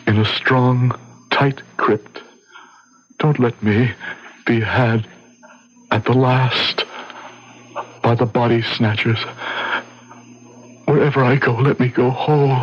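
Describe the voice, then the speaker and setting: An actor in an old-time radio drama playing a dying, remorseful man, bitter and fatalistic, halting and gasping in pain. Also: mid-range voice, husky. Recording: dull, noisy old radio recording.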